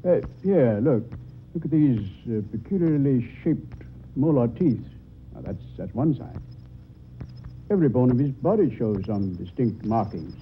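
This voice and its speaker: A man's voice: medium pitch, animated, measured pace, natural voice. An actor playing a learned male doctor in an old-time radio drama, lecturing precisely about his field. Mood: assured, explanatory. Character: learned male doctor, expert on ancient human bones. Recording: muffled, noisy old radio recording.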